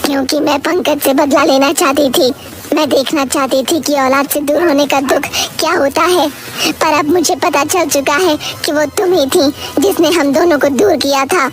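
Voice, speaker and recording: A woman voices a vengeful female spirit from a cartoon story, accusing and sorrowful. Her high voice is pushed lower for the ghost. Music plays clearly underneath. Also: steady tone, normal speed, close to an everyday voice. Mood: remorseful. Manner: grieving, accusing, low and heavy. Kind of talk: animated storybook voice acting.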